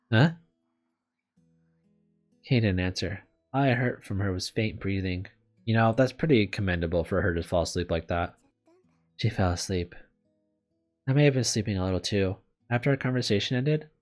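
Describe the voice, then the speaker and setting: Candid let's-player over soft guitar music. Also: low-pitched. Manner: candid, self-deprecating, apologetic, swears. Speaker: video game streamer. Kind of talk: let's play, reading visual novel aloud with casual commentary.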